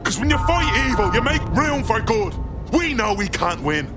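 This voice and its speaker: A scrappy idealist hacker from a video game, high lively voice, blunt, loud music behind. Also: male voice, normal speed. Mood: defiant. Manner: blunt, cheeky, impassioned. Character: defiant male hacker activist, idealistic, scrappy.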